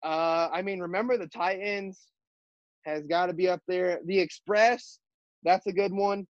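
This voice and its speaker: A teenage high school quarterback with a high-pitched voice, answering interview questions earnestly with many fillers and restarts. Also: male voice, steady tone, no echo. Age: teens. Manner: halting, filler-heavy, earnest. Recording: dull, muffled audio.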